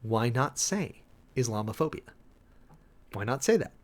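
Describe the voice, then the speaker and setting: Male host, mid pitch, very animated delivery. Dramatic document reading with emphatic, sardonic asides. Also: measured pace. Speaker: host, preacher.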